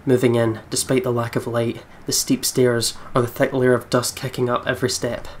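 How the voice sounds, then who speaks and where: A let's play host reading visual novel narration aloud in a dramatic, deliberate way. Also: mid-range voice, little pitch movement, a little hiss or hum. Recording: music behind the voice. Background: game music.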